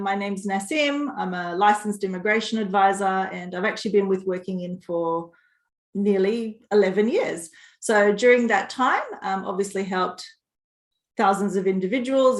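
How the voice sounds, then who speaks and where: A licensed immigration advisor, a woman, introducing herself on a webinar in an upbeat, professional way. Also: mid-range voice. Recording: echoey room.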